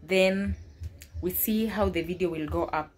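A woman's voice: on the low side, measured pace. A young woman, chatting casually to viewers as she tidies her bedroom, recorded on a phone. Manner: chatty, talks viewers through her tidying with small restarts. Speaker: vlogger. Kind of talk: casual home vlog narration. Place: bedroom at home.

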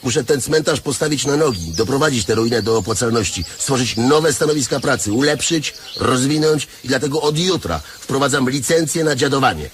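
A male actor plays an officious, money-minded leaseholder in a radio drama. His mid-pitched voice is dismissive and stumbles into repeats, with faint noise behind. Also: lively intonation, brisk, hardly any pauses, lightly acted. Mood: officious, greedy. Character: bossy, greedy male leaseholder of a cemetery. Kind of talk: Polish radio play acting.

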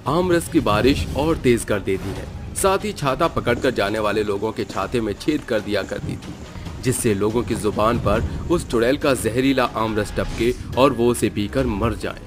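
A man's voice: on the low side, unhurried, music in the background. A man giving scripted, dramatic narration as the storyteller of a tale.

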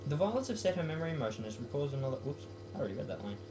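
Young man, casual and low-key. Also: poor audio. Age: late teens to young adult. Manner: self-mocking, jokey. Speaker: student vlogger. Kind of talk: casual talk and poem reading. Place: small bedroom, indoors.

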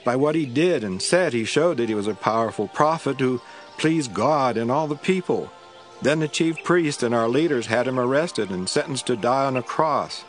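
A man's voice: medium pitch, animated, moderate pace, soft background music, natural voice. A man playing an earnest, sorrowful disciple in an audio Bible drama, heartfelt in delivery.

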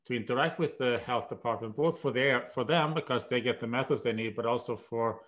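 An older man with a Swedish accent answers questions on a video call from home. He speaks in a measured way, stopping often for "uh" as he searches for the right words. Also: medium pitch, a bit bright. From Sweden. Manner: measured, hesitant with many "uh"s. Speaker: guest professor. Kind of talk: conversational interview. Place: home room.

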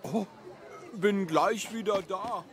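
A man's voice: high-pitched, slow pace, a crowd in the background, background noise, pitched above the performer's own voice. A man voicing a simple, eager villager in a story, with an earnest, naive and hesitant manner. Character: simple, eager male villager selling his goods.

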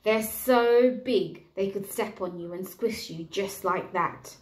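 A woman's voice: medium pitch, slow, a little mellow, roomy sound, lightly acted, poor audio. A woman voicing a small, earnest lost boy in a children's storybook, emphatic and dramatic. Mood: emphatic warning. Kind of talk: children's storybook read-aloud.

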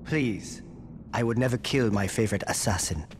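An elderly crime lord from a video game acted by a man, formal and courteous. His low voice rings in a long hall reverb over clear background noise. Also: moderate pace, close to an everyday voice. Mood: courteous, composed. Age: older. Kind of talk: video game cutscene acting.

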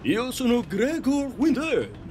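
High-pitched, flamboyant male fairy. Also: huge pitch swings, takes it slowly, darkish tone, a little hiss or hum, heavily acted. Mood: courteous, jovial. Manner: theatrical, effusive, pompous declarations. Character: flamboyant, doting, grandiloquent male fairy in the afterlife. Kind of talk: audio drama acting.

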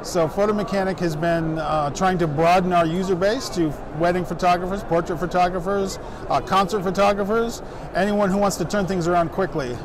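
A middle-aged man at a trade show booth explaining his company's photo software, talking steadily with frequent "um" fillers. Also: high voice, fairly even intonation. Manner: brisk explaining, many "um" fillers. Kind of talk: trade show product pitch. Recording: noisy trade show floor. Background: crowd chatter of the show floor.

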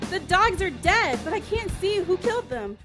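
A woman acting an anxious, excitable young sidekick in an audio drama. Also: very high-pitched, normal speed, music in the background. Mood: excited.

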